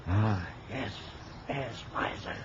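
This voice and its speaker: An actor playing an ancient wizard and mentor in a radio play, speaking in a poetic, archaic and wistful manner. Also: male voice, fairly low pitch, slow. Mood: wistful, grand. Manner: poetic, grandly archaic, chuckling speech. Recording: dull, noisy old radio recording.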